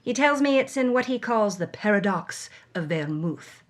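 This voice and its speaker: A woman voicing a suave, philosophical male Spanish film director, deliberate and hesitant as the character savors each phrase in a dramatized story. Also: mid-range voice, expressive, lightly acted. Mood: wry, pontificating.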